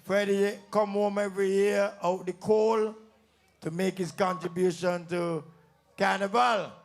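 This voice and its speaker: A man's voice: high voice, little pitch movement, a little slow, vocal fry. A man with a Kittitian accent hosting a live stage show as emcee, teasing audience members directly with playful banter. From St Kitts. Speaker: calypso tent emcee and comedian. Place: live calypso tent stage before an audience.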